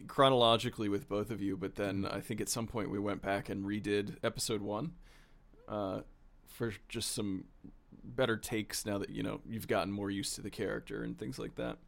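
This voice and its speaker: A man hosting a casual interview, easygoing, agreeing quickly and laughing along. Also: fairly low pitch, little pitch movement, vocal fry. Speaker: podcast co-creator, interviewer.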